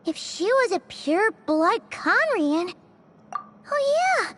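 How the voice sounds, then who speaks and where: Squeaky, very high little fairy companion. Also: female voice, sing-song, slow pace, a bit bright, slight background noise, exaggerated voice. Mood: curious. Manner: squeaky, childlike. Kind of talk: video game voice acting.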